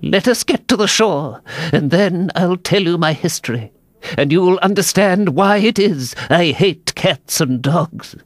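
Shrill, touchy little mouse. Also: lively intonation, unhurried, fairly bright tone, no echo, heavily acted. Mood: trembling, solemn. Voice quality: shrill, trembling. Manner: haughty, offended, exclamatory. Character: small, touchy, indignant male mouse.

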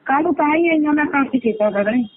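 A woman's voice: high-pitched, lively intonation, quick pace. Woman, Malwa Punjabi accent, assertive, colloquial, casual phone chat, narrow tinny sound, noisy recording. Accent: Punjabi, Malwa region. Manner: assertive, colloquial, insists on being heard. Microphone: phone call.

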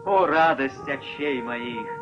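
A man's voice: mid-range voice, takes it slowly, pitched below the performer's own voice, close to an everyday voice. A man voicing an anxious, loving old mother in a fairy tale, fretful and tender. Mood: tender, amazed. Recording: dull vintage film soundtrack, music behind.

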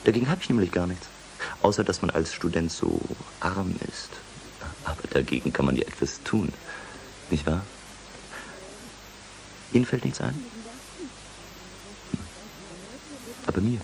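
A man's voice: sits low, animated, normal speed, long pauses, a little mellow, slight background noise, low-quality recording. An actor playing an embittered young doctoral student in a German radio play, sarcastic and taunting as he turns to sly blackmail. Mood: smug, taunting.

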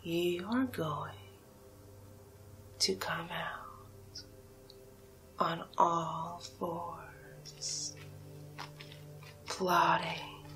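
A commanding alien cow hypnotist, played by a woman, whispers in a lowish, breathy female voice. She speaks extremely slowly and deliberately, coaxing like an ASMR roleplay captor. Music plays behind her. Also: steady tone, a bit bright, exaggerated voice. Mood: hypnotic, commanding.

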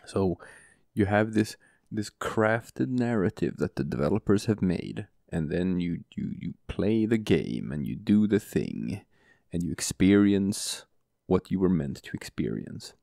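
A male podcast co-host with a booming voice, talking softly and slowly right next to the microphone in casual chat, often chuckling. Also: very animated, no echo. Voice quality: booming, gravelly voice. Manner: soft, close-mic murmur, chuckles often. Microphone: close studio mic.

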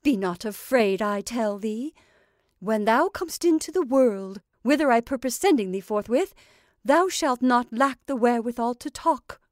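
Storybook old witch played by a woman: bossy, scornful, coaxing, high and emphatic, declaiming in archaic phrases. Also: lively intonation, moderate pace, no echo, clean studio sound, lightly acted.

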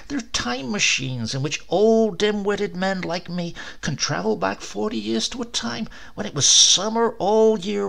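A man voicing a greying old husband, dreamy and excitable, who enthuses wistfully. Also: high voice, vocal fry, pitched above the performer's own voice, heavily acted. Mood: nostalgic. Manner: enthusing, wistful, hesitant when caught.

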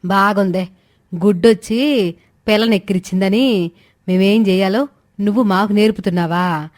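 A woman performs a middle-aged mother in a dramatized moral tale. The voice is mid-pitched, indignant and sarcastic as it scolds. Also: moderate pace, darkish tone, natural voice. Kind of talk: dramatized moral story dialogue.

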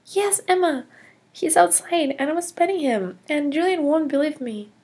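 A woman's voice: high-pitched, normal speed, a little mellow. Hannah, woman doing a gasping curious girl. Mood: insistent. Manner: gasping, whispering wonder. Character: curious cheerful girl on a ski holiday. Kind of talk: toy play storytelling.

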